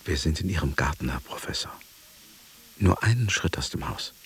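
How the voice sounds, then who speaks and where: A man playing a devoted attendant in a radio drama, narrating in a measured, formal and deferential manner. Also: rumbling low, animated, slight background noise. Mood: deferential, gentle. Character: devoted, sober male attendant recounting his master's decline.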